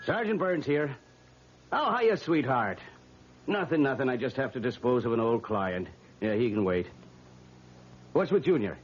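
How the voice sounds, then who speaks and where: An actor playing a weary, wisecracking police desk sergeant in old-time radio drama, with folksy, sardonic banter. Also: male voice, medium pitch, sing-song, moderate pace, long pauses, lo-fi sound, a little hiss or hum, lightly acted. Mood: wry, weary.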